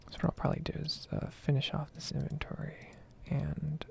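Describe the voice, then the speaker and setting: Casting: American woman, 20s, heavily fry-laden and often whispered voice, rambling ASMR gaming talk, casual swearing, close mic. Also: sits low, very animated, brisk, a little mellow. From United States. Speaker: gaming video creator.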